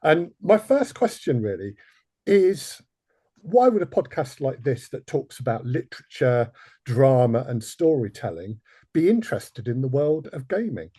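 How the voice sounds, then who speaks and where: A man's voice: mid-range voice, sing-song, a little slow, mellow, slightly rough, no room sound. A man hosting a podcast panel interview, introducing and questioning in a self-deprecating manner. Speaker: podcast host.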